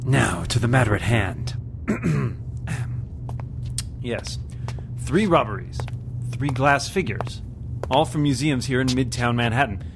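An actor playing a pompous, mysterious crime-fighter in a melodramatic parody of old-time radio acting. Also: male voice, mid-range voice, expressive, slow, creaky, long pauses, music in the background. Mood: brooding. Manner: melodramatic, grandly theatrical.

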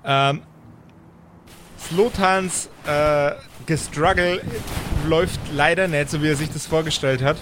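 A man's voice: on the high side, steady tone, takes it slowly. Male game master, slightly noisy recording. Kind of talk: improvised tabletop game narration.

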